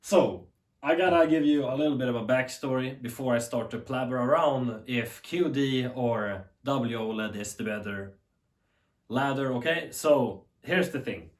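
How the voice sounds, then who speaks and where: A young man with a Swedish accent talks off the cuff in a roomy, echoing space. He rambles earnestly, correcting himself as he goes, at a middle pitch. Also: measured pace. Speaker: tech YouTuber. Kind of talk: unscripted talk to camera. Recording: echoey room.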